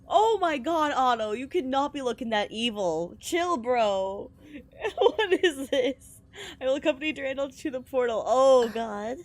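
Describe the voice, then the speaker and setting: A woman's voice: high-pitched, expressive, measured pace. A woman game streamer giving casual, slangy reaction commentary with exclamations and swearing. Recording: music behind the voice. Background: game music.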